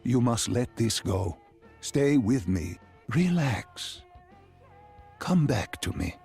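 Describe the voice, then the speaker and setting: A man playing a calm, commanding, enigmatic interrogator in a video game, guiding someone through memories with deliberate, controlling delivery. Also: medium pitch, gravelly, breathy edge, exaggerated voice. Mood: soothing, commanding. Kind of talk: dramatic game cutscene dialogue. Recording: music under the voice. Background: music.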